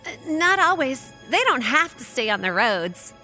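A woman's voice: high voice, very animated, normal speed, bright, forceful tone, faint music behind, full character voice, low-quality recording. A woman playing an eager, chatty young girl in a horror audio drama, speaking in a slightly hesitant, childlike way.

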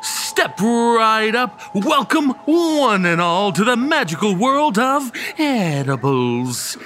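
Showy young male stoner, theatrical, goofy, higher-pitched, animated, audio drama, faint music. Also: exaggerated voice. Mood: showman pitch. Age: young adult. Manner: theatrical, drawn-out emphasis. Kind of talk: theatrical dialogue in old time radio style audio play.

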